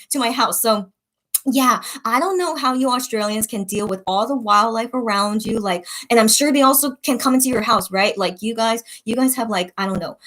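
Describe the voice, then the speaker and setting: A young woman from the United States chatting casually while reading viewer comments, rambling with many fillers and restarts and often trailing off softly. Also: on the high side. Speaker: online shop owner reading viewer comments. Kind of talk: casual livestream-style chat.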